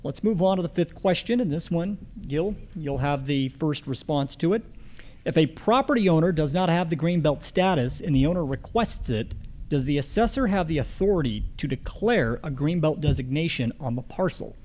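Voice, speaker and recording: A man's voice: on the high side, expressive, moderate pace, a little mellow. An American man moderating a candidate forum, putting questions to candidates and giving announcements.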